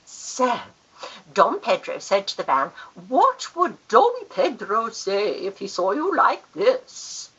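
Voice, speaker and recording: A woman's voice: mid-range voice, big pitch swings, slow pace, pushed and bright, slightly rough, highs cut off, full character voice. A woman acting a grand, gushing, easily affronted society aunt in a Victorian radio farce, theatrical and emphatic. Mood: gushing storyteller.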